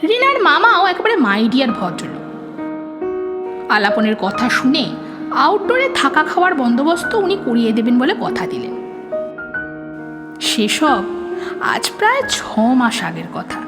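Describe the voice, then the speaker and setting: Woman, dramatic story narration, high voice, swooping intonation, prominent music behind. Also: big pitch swings, normal speed, pauses often. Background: prominent background music.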